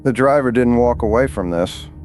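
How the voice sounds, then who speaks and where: A man's voice: medium pitch, normal speed, a little mellow, faint music behind, natural voice. A man playing a grim, level-headed local hunter in a horror story, grave and matter-of-fact. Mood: grim, blunt. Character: grim, level-headed local male hunter who knows his prey. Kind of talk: scripted horror story dialogue.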